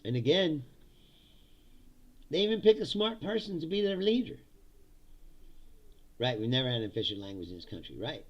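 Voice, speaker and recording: A man's voice: fairly high pitch, sing-song, normal speed, pauses often, lo-fi sound. A middle-aged man from Indiana chatting casually on a livestream, laughing often and slipping into mock voices.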